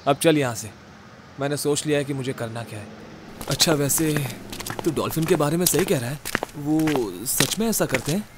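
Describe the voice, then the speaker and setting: A man acting a high-pitched, bossy ghost investigator. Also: normal speed, background noise, close to an everyday voice. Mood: curious. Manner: bossy, exasperated, foul-mouthed. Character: Dhruv, irritable bossy male ghost-case investigator and leader. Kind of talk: Hindi horror-comedy audio drama acting.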